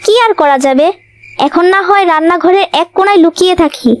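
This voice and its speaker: A woman's voice: extremely high pitch, moderate pace. A woman voicing a sly, greedy young fox in a children's cartoon, talking in a wily, dramatic, chatty way. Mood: dismayed. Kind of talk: children's cartoon character dialogue.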